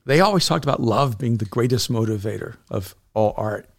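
Middle-aged guy from el salvador, gravelly voice, earnest storytelling, keeps restarting his sentences. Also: mid-range voice, expressive, a little fast. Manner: earnest, storytelling, frequent restarts and repeated words. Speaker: podcast guest, author and pastor. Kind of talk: reflective casual conversation.

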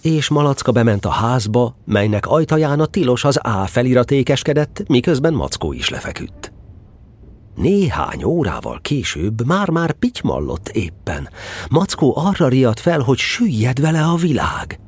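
A man narrating a children's radio play in an expressive, playful tone. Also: medium pitch, slightly rough.

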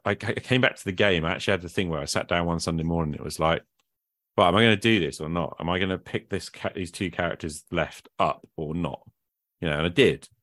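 A man's voice: low-pitched, a bit bright, no room sound. A man speaking as a podcast host in casual, reflective conversation, thinking aloud and often qualifying himself.

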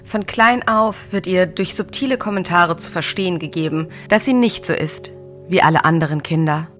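A woman in her early thirties hosting a discussion in an articulate, measured way. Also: fairly low pitch, a bit bright, faint music behind. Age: early 30s. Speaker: podcast host. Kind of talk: scripted narration and conversation about books and society.

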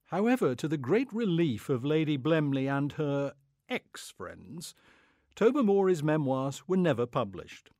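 Expressive man narrating, fairly high voice. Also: unhurried, no echo, clean studio sound. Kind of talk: scripted story narration.

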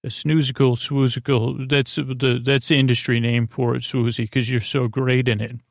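A man hosting a sleep podcast, rambling in a whimsical, hesitant bedtime monologue with stammered false starts and a creaky, gravelly voice. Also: medium pitch, little pitch movement, moderate pace, dry recording, studio quality.

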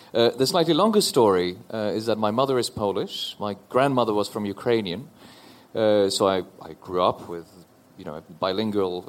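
A man from Norway in his forties speaks on a panel with a fairly low voice. He tells a personal story slowly and thoughtfully, often restarting his sentences. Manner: thoughtful, halting with repeated restarts. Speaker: panel guest. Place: stage before a live audience.

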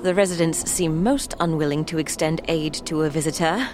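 A woman's voice: deep voice, brisk, noisy background, close to an everyday voice. A woman doing a stammering con man. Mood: flustered. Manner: stammering, overly polite old-fashioned speech. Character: plump male con man posing as lost gentleman. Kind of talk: tabletop audio drama.

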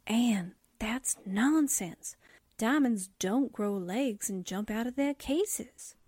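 A wry, blunt girl reporter played by a woman in an old radio mystery. Her voice is a little high and very dry in sound, with matter-of-fact delivery. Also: slow, a little mellow, husky, no echo. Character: wry, blunt newspaperwoman sidekick. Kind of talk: 1940s radio drama acting.

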